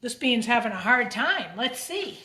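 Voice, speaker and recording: A woman's voice: on the high side, sing-song, normal speed, pushed and bright, slight background noise. A woman reading a picture book aloud to children, expressively, with simple asides to her young listeners. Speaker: storytime reader, teacher. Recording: roomy, echoing room sound.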